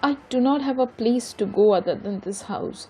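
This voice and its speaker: A weary, caring mother played by a woman confides in an old friend in a bilingual audio drama. Her Indian Bengali-accented voice is mellow and a little husky, rising and falling expressively as she slips between English and Bengali. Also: fairly high pitch, expressive, fast, faint music behind, low-quality recording. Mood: weary, troubled.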